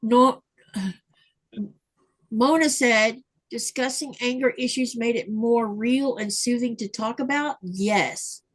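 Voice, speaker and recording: A woman's voice: fairly high pitch, takes it slowly. A woman hosting a craft livestream, reading viewer comments aloud in a chatty way with fillers. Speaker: livestream host reading chat. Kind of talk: casual chat. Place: home livestream. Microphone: livestream mic.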